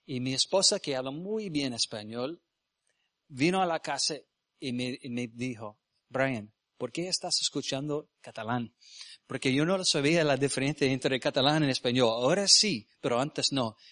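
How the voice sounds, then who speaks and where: A man born in Venezuela who grew up speaking English, a missionary preaching in Spanish with an American English accent, often halting to search for words and asking the congregation questions. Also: mid-range voice, a bit bright, no room sound, studio quality. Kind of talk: sermon with personal stories. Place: church.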